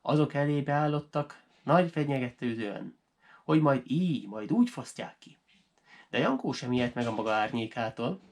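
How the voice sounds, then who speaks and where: A man narrating a folk tale as a storyteller, in an animated, dramatic way for language learners. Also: mid-range voice.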